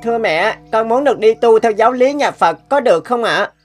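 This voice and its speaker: A woman acts a devout young cartoon boy, respectful and earnest. The voice is fairly high, over faint music. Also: expressive, brisk, lightly acted. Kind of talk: cartoon dubbing.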